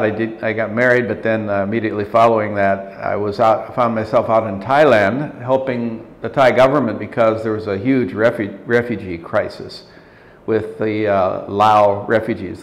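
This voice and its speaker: An older man in his sixties speaks as an interview guest, slow to find his words and often restarting. His voice sits a little low, and the office room around him sounds roomy. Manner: measured, thoughtful, frequent restarts and hesitations. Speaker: interview guest, leadership consultant. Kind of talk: reflective interview talk. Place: office meeting room at a table.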